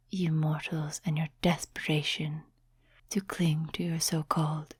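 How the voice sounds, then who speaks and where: Ancient female demon, played by a woman, ASMR roleplay: dark, lowish whisper, teasing condescension, close dry mic. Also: no room sound, heavily acted. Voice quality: breathy. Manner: slow, teasing, soft-spoken whispering. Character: ancient seductive demon, mocking, amused, condescending to mortals. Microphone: close, dry microphone.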